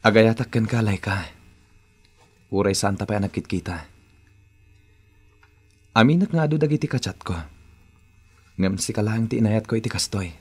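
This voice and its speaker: A man's voice: lowish voice, normal speed, pauses often, darkish tone, husky. A man acting an earnest, lovestruck Filipino migrant worker in an Ilocano radio drama. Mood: earnest, romantic. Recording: old AM radio drama, music behind.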